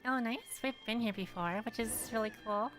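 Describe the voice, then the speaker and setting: Bright, high-voiced woman streaming a game. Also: moderate pace, soft background music. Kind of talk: casual live game commentary.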